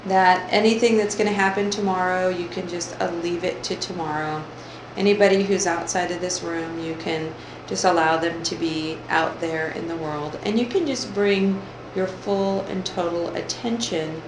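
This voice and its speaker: A woman leading an unscripted guided meditation in a soothing, drawn-out way, gently repeating phrases. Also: fairly low pitch, steady tone, background noise. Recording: roomy echo, faint music underneath.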